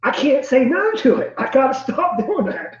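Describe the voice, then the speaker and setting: Man, late thirties, high voice, giggly, halting, muffled echoey call audio. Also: big pitch swings. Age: late 30s. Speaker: guest. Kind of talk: casual video call chat.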